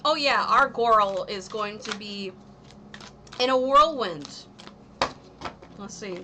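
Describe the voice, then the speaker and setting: Woman, 30s, bright voice, loose chatty tarot reader, lots of ums, faint background hiss. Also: mid-range voice, expressive, slow pace. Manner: rambling, full of ums and likes. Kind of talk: casual livestream tarot reading.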